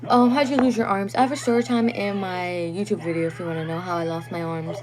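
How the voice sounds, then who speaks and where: A woman's voice: fairly low pitch, fairly even intonation, fast, a crowd in the background. A young woman chatting casually on a phone livestream; English is not her first language, and she laughs often and sometimes stumbles over words. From Guatemalan family.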